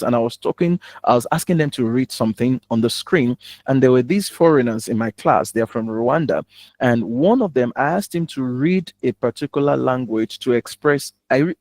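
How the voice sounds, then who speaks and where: A man's voice: medium pitch, a little mellow, dry recording. Nigerian man coaching in a dry, close sound. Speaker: public speaking coach. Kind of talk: live instructional talk.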